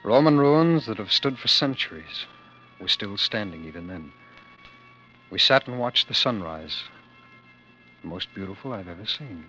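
Anguished low-voiced man in love. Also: lively intonation, sharp tone, rough recording. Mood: solemn, grieving. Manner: halting, repeating words, solemn. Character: fleeing lover narrating war's end, anguished, tender, devoted man. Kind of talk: 1940s radio drama acting. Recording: vintage radio broadcast with music.

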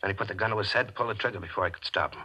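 A man's voice: on the low side, rapid-fire, bright, forceful tone, slightly rough, exaggerated voice, poor audio. An actor playing a cold, scheming gambler who tells of his own murder in calculated 1940s radio mystery style. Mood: nervous, explaining. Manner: calculated, confessional first-person telling. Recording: vintage radio broadcast with music.

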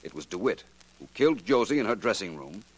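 A low male voice with lively swings of pitch, a confident American sleuth in a 1940s radio mystery. He speaks formally and deliberately, with an air of authority. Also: breathy edge, poor audio. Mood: explaining, assured. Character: shrewd, confident male private detective.

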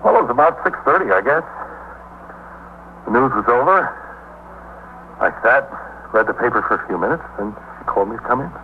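A man's voice: mid-range voice, animated, fairly quick, long pauses, natural voice. A man acting an anxious, evasive husband in a 1950s radio police drama, hesitant, repetitive and defensive. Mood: hesitant, explaining. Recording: muffled, noisy 1950s radio recording.

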